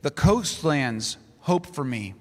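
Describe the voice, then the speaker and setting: A man delivers a sermon in a slow, measured cadence, reading scripture passages aloud. His voice sits a little high for a man. It carries through a church sanctuary with a long reverb. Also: big pitch swings. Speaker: pastor. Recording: long reverb of a large hall.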